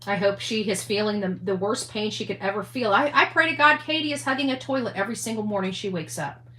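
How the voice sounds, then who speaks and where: A woman on a casual rant, speaking in a halting, repetitive, emphatic way. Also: mid-range voice, bright, forceful tone. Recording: echoey room sound.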